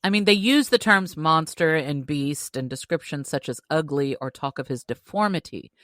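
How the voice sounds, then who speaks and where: A woman with a low voice talks casually as a podcast co-host. The recording is dry, with hardly any room sound around her. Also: animated, moderate pace, a bit bright, no echo. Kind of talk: casual book discussion.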